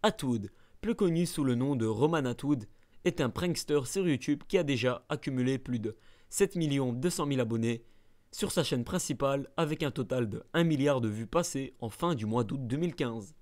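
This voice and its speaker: A man's voice: medium pitch, animated, moderate pace, darkish tone. A man narrating a scripted ranking video, adding playful mock-dramatic asides.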